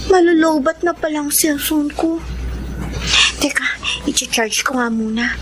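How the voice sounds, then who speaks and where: A woman's voice: high-pitched, background noise. A woman playing a young, girlish daughter who thinks aloud in a radio drama, with a Filipino accent. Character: young daughter, chatty, devoted to her daddy.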